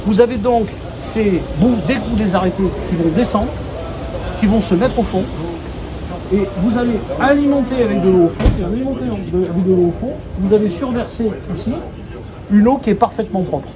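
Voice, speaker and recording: A man's voice: high voice, a little fast, crowd noise. A man giving an informal, colloquial explanatory talk to visitors on site, simplifying technical points as he goes. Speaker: company executive presenting a water treatment plant. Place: on site at a treatment plant. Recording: dull sound, heavy ambient noise.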